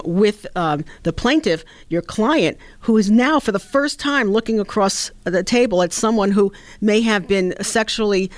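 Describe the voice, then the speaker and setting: A woman hosts a talk radio show in a chatty, friendly way, dropping in casual asides. Her voice sits at a middle pitch and sounds dry and close to the mic. The room adds almost no reverb.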